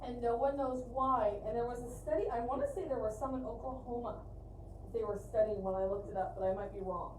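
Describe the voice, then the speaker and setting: A woman's voice: a little high, darkish tone. A woman chatting casually and informally as she explains things to livestream viewers. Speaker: co-host of a fiber arts livestream. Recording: roomy echo, distant mic, faint noise.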